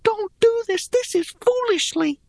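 Tiny male storybook creature, extremely high squeaky pitch, childlike, anxious, pleading, babyish grammar, dry close sound. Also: lively intonation, measured pace, creaky, dry recording, exaggerated voice. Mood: alarmed, pleading. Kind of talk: audiobook character acting.

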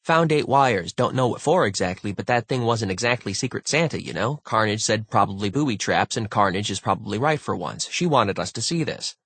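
A man's voice: lowish voice, animated, brisk, hardly any pauses, fairly bright tone, husky, no echo, full character voice. A man voicing a blunt, slangy, agitated woman crew member in a science fiction audiobook. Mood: blunt, edgy.

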